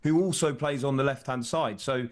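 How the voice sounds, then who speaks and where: A man's voice: mid-range voice, moderate pace. A man working as a football reporter, explaining transfer news in an off-the-cuff, conversational broadcast discussion.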